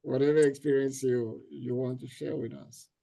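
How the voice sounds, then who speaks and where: A man's voice: mid-range voice, steady tone, moderate pace, vocal fry, warm tone, poor audio. A man hosting an interview show over a video call, giving encouraging, repetitive prompts to his guest and laughing heartily. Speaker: podcast host.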